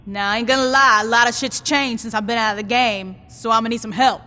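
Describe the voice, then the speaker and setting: A woman's voice: fairly high pitch, fairly even intonation, fast talker, long reverb tail, heavy background noise, natural voice. A woman voicing a blunt, tough gang boss. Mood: commanding. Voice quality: blunt, sarcastic. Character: tough, foul-mouthed female gang boss. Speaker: gang leader player character. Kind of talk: video game cutscene acting.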